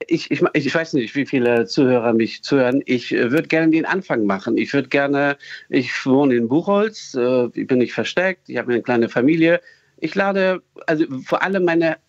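A man's voice: mid-range voice, no room sound, highs cut off. A man from Afghanistan calling a radio show, speaking earnestly and hesitantly with many fillers. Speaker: radio caller. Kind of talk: earnest call-in appeal.

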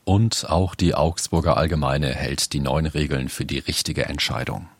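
A radio news host with an extremely deep, husky voice presents in a calm, announcer-like way. The sound is dry and close, with faint music now and then. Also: male voice, moderate pace, no room sound.

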